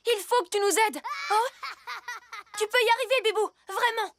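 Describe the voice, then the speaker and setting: Very high-pitched, earnest child superhero. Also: female voice, moderate pace, background noise. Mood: encouraging. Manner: expressive, exclamatory, earnest. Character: eager six-year-old child superhero, earnest and encouraging. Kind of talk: French cartoon dub acting. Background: cartoon music under the voice.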